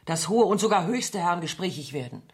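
An actress in a German radio play playing a worldly, coquettish woman accused of spying, answering evasively in a measured, ironic way. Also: fairly low pitch, expressive, close to an everyday voice. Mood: evasive, poised. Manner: coy, ironic, measured, defensive.

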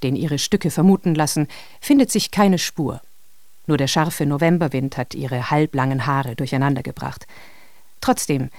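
A man's voice: on the high side, darkish tone, no room sound. A man reading scripted narration for a radio portrait feature.

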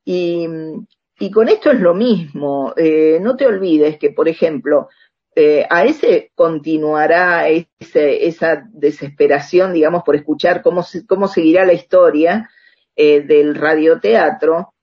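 A woman with an Argentine accent in a conversational radio interview, speaking reflectively and haltingly, often checking with a tag question. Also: sits low, husky, highs cut off. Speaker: author interviewed about radio drama.

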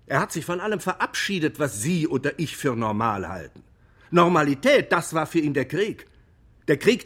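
An insistent lawyer pleading in a radio drama trial. His delivery is rhetorical and deliberate, with strongly varied pitch that presses home each point. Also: fairly bright tone, husky. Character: emphatic, insistent male lawyer arguing in court. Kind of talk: radio drama courtroom speech.

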